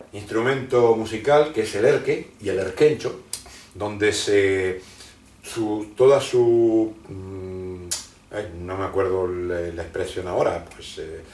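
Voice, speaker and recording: Man, interview guest, low and rambling, hesitant, roomy far-off mic. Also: a little mellow. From Argentina. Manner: rambling and explanatory, many hesitations and restarts. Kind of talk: conversational interview, lecturing. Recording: roomy sound from a distant mic.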